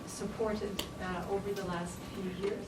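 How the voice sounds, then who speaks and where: Halting woman introducing a speaker. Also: medium pitch, little pitch movement. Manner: halting, many filler sounds. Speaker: session moderator. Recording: echoey hall, distant mic, loud noise.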